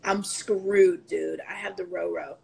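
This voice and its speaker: A woman's voice: on the low side, steady tone, unhurried, gravelly, a little mellow, husky. A young woman in her twenties chatting casually on a phone livestream from home, offhand and profane, with a stuffy nose. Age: 20s. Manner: offhand, profane, laughing asides. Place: indoors at home, apartment room. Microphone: phone camera at arm's length.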